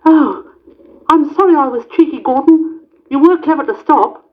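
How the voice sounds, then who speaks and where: A storybook narrator voicing a small, cheeky young male engine in a high, whiny, fretful voice, from a muffled radio recording. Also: moderate pace, close to an everyday voice. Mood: sheepish. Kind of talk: storybook reading.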